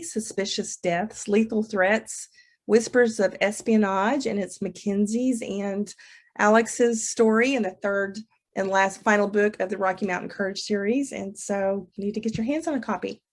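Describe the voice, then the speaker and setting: Female guest author, medium-pitched voice, conversational podcast delivery. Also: moderate pace. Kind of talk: casual chat.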